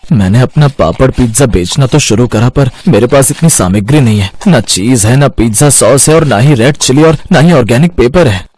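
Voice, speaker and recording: A man acts out a poor street seller in a storybook tale. He is a caring, hopeful father with a mid-pitched male voice. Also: expressive, normal speed, a little mellow, no echo, natural voice. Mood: pensive, worried.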